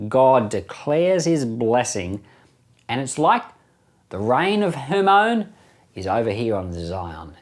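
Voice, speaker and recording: A man's voice: mid-range voice, a little mellow. A middle-aged man gives informal Bible commentary as a pastor, explaining things conversationally and repeating phrases for emphasis. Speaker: pastor and Bible podcast host.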